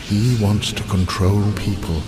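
An actor playing a solemn, earnest elf envoy in a video game, with formal, measured phrasing. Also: male voice, lowish voice, fairly even intonation, close to an everyday voice.

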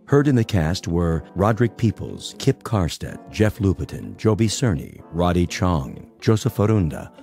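Male radio announcer, American accent, dark low voice, scripted credit read. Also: animated, moderate pace, hardly any pauses, soft background music.

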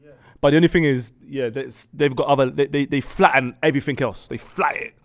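A man whose first language is Arabic, chatting in casual studio banter, animated, laughing often and repeating his points. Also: mid-range voice, fast. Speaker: podcast panelist. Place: studio with dark curtains. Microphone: studio mic on desk stand.

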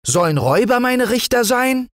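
A small, timid hare with a thin, high, strained voice, as a male reader puts him on. He pleads and protests, his voice climbing high and wide. The recording is close and dry, as if read into a bedtime storybook mic. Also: big pitch swings, normal speed, fairly bright tone, no room sound, exaggerated voice. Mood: indignant.

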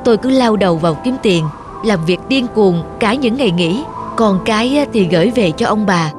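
A woman voices a lonely middle-aged woman in a cartoon, speaking with regret and longing. Clear music runs underneath her words. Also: mid-range voice, lively intonation, normal speed, lightly acted. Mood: regretful. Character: lonely, regretful older woman living alone. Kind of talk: Vietnamese cartoon voice acting.

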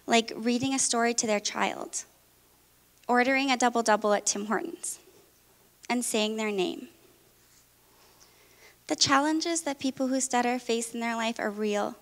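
A young woman speaks from a large auditorium stage, her fairly high voice carrying a long hall reverb. She talks in an earnest, measured way, like a prepared presentation. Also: vocal fry. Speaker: speech therapist presenter.

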